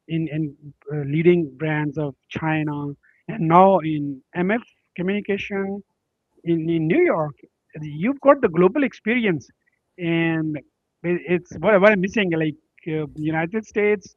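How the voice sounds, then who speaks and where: A man's voice: fairly high pitch, dry recording. A man hosting an interview show, eager, often stumbling and repeating his words. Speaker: show host. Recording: dull, lo-fi, dry.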